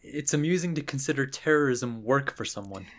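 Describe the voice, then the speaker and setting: Guy doing a cool agent interrogating someone, mid-pitched male voice, measured, needling, radio play. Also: expressive, a bit bright, lightly acted. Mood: needling, controlled. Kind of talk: radio drama dialogue.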